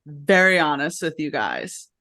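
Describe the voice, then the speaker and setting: A woman hosting a casual review chat, chatty and quick to laugh. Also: sits low, very animated, bright, forceful tone. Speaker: host.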